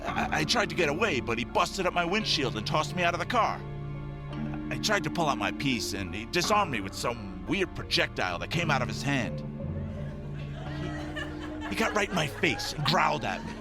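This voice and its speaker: A man's voice: fairly high pitch, big pitch swings, normal speed, over loud music. A man playing a shady, defensive, streetwise police detective in an audio drama, blustering and rattled. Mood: rattled, insistent.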